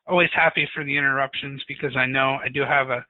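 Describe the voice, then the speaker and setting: A man presenting a technical webinar in an informal, somewhat monotonous way. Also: mid-range voice, quick pace, no echo. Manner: informal, self-described monotonous. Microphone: webinar call audio. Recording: tinny phone-like webinar audio.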